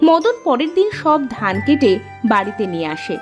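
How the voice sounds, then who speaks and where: High-voiced woman narrating a cartoon story. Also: sing-song, normal speed, music in the background.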